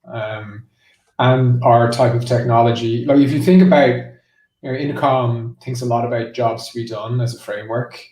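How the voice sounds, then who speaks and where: A man's voice: mid-range voice, steady tone, moderate pace, mellow. A man answering as a guest in a business interview, explaining things conversationally with plenty of "like" and "you know". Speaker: interview guest, marketing executive. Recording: roomy echo, distant from the mic.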